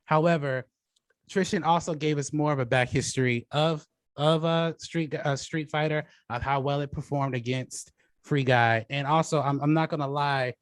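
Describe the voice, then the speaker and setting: A man's voice: on the high side, steady tone, normal speed, dry recording. A man hosting a casual debate show, talking through his judging of the match. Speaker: host and judge of a debate show.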